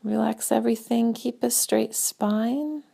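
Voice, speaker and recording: A woman leading a guided meditation in a gentle, spaced-out way, her soft breathy voice often trailing toward a whisper. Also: on the high side. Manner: slow, gentle, spaced-out phrasing with long pauses.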